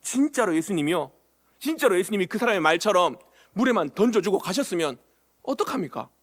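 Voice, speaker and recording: A man's voice: sits high, fairly bright tone. A man preaching a sermon as pastor of a church, often asking the congregation questions and acting out short quoted lines. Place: church sanctuary.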